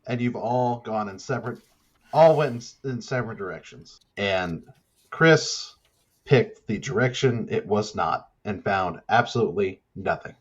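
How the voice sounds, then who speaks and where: A man's voice: on the low side, measured pace. A man casually improvising narration as the director in a tabletop horror-comedy game. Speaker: player acting as director of the story. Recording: slightly dull, muffled audio.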